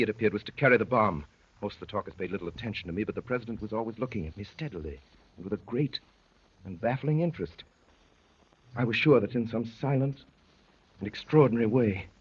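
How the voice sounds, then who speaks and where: An actor playing a tense undercover spy, narrating ominously in the style of 1930s radio drama. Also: male voice, medium pitch, slightly rough, close to an everyday voice. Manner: dramatic, literary, ominous storytelling. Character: tense undercover spy recounting a sinister gathering. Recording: muffled vintage 1930s radio recording.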